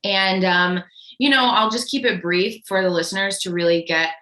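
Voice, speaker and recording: Chatty young woman, bright echoey voice. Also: medium pitch, steady tone, moderate pace. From Connecticut, US. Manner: chatty, lots of "like" and "um". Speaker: guest, microdosing guide. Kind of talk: casual interview chat.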